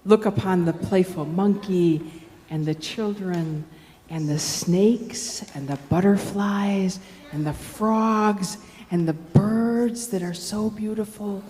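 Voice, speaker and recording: A woman voicing a gentle rainforest child, solemn and measured, pleading in live storytelling. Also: medium pitch, voice pushed down, close to an everyday voice. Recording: echoey hall, faint background noise.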